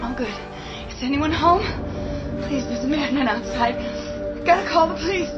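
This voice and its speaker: A woman's voice: high voice, moderate pace, natural voice. A woman playing a terrified, grieving young woman in a horror radio drama, pleading and distraught. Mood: panicked. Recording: dull lo-fi audio, loud music underneath. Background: loud dramatic music.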